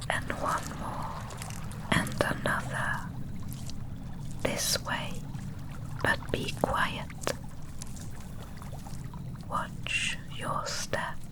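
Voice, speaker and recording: A tiny female will-o'-the-wisp, played by a woman, guides a traveler in a pure whisper. The delivery is extremely slow and deliberate, gentle and cautious, in the style of an ASMR fantasy roleplay. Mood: encouraging, cautious. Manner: hushed, careful, deliberate phrasing, soothing guidance.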